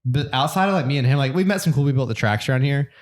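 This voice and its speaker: A man's voice: mid-range voice, lively intonation, racing. A man in his late twenties from the American South, co-hosting a casual podcast and telling a long story with many fillers and restarts. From Georgia, USA. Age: 20s. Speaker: podcast co-host.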